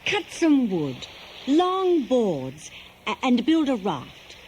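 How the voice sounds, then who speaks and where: An actress playing a lovelorn, coaxing immortal goddess in a radio play, with a languid, sighing manner. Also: female voice, fairly high pitch, huge pitch swings, slow pace, a little mellow, heavily acted. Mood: coaxing, wistful. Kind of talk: 1970s radio play acting. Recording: slightly noisy old cassette recording.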